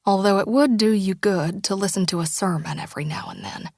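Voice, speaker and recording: A woman voicing a tender small-town mother who speaks in a soft, often breathy near-whisper, pleading and heartfelt. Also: on the high side, measured pace, no room sound, clean studio sound. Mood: tearful. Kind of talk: audiobook character dialogue.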